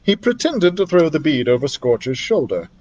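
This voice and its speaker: A man reading a children's adventure story aloud as a library storyteller, in an expressive narrating style. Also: on the high side, moderate pace, highs cut off.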